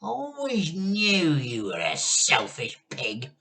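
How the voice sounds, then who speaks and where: A man voicing a horrid, bossy giant of an old grandmother in a children's storybook, loud, shouted and scolding. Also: on the high side, huge pitch swings, slow, vocal fry, voice pushed up, heavily acted. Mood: accusing, bullying. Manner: scolding, imperious.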